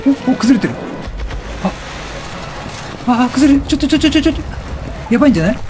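A man with a high-pitched voice gives a running game commentary. He is chatty and reactive, swinging widely in pitch and firing off repeated exclamations. Game music plays behind him. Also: expressive, fast talker. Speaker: gaming streamer. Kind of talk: excitable let's-play commentary, reading game text aloud. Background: video game music.

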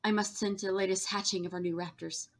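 Woman playing a courteous, guarded doctor, medium pitch. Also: fairly even intonation, heavy background noise, close to an everyday voice. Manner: measured, formal. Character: calm, courteous female dinosaur-breeding doctor, official and guarded.

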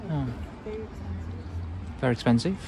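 Male vlogger, slow unhurried talk, loud street noise behind. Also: mid-range voice, creaky. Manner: slow, hesitant, many fillers. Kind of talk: casual walking commentary. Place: outdoors on London streets and in shops.

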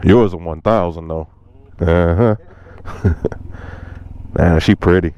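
A man vlogging casually from a motorcycle meetup outdoors, talking to viewers in relaxed slang through a helmet or body camera mic. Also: deep voice, slow pace, lo-fi sound. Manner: laid-back slangy talk to viewers. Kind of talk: casual vlog narration. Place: outdoors at a parking lot meetup. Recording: loud wind and engine noise outdoors.

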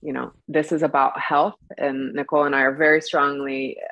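A woman's voice: deep voice, little pitch movement, normal speed, gravelly, fairly bright tone, no echo, lo-fi sound. A young woman co-hosting a podcast, explaining things earnestly in a conversational interview. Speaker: podcast co-host. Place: small indoor room, on a video call.

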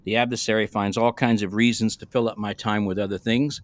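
A man's voice: lowish voice, fairly even intonation, a little fast, no room sound. A man in his sixties, a pastor co-hosting a podcast in a casual, rambling, folksy way. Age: 60s. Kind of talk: casual conversational podcast discussion. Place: small room, remote video call. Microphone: close podcast microphone.

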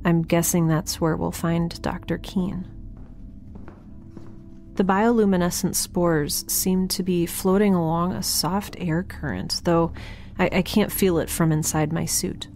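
A woman playing a nervous, wry young scientist who narrates a field log in a halting, conversational way for an audio drama. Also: fairly low pitch, fairly even intonation, darkish tone, soft background music. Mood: uneasy, observant. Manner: halting, self-interrupting, conversational.

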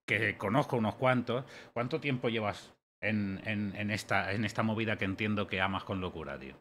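A man's voice: on the low side, fairly bright tone, a little hiss or hum. Slangy man hosting a stream. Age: late 30s. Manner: loose, slangy and chummy, with repeated words. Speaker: podcast host. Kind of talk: casual chat. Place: home streaming room.

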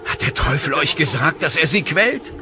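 Stern, animated judge acted by a man. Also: a little high, normal speed, background noise, lightly acted. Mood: accusing, pressing. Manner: pressing, theatrical questioning. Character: stern, insistent male judge interrogating an accused witch. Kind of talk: historical docudrama dialogue in German.

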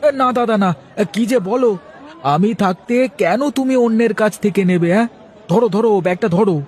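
A man's voice: high voice, brisk, darkish tone, a faint crowd, close to an everyday voice. A man voicing a plain-living village vegetable seller in a cartoon, wheedling and defensive, full of colloquial interjections. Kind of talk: cartoon character dialogue.

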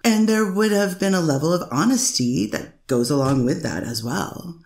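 Woman reading a methodical low-voiced lawyer. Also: lively intonation, normal speed, darkish tone, reverberant. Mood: steady questioning. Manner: methodical questioning, many "is that fair to say". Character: careful woman lawyer cross-examining a witness. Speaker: defense attorney. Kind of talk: courtroom transcript reading.

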